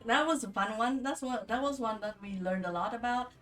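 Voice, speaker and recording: A woman streamer reading stories and commenting casually, with an informal, halting, rambling way of talking. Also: on the high side, fairly bright tone, distant mic, soft background music. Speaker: streamer reading folktales aloud. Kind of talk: casual livestream storytelling and commentary.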